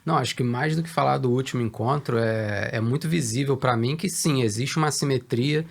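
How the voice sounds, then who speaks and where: Brazilian guy, gravelly voice, slow careful answers with lots of ums, business chat. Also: medium pitch. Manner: measured, hesitant with many fillers. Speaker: guest, research director. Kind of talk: conversational business interview.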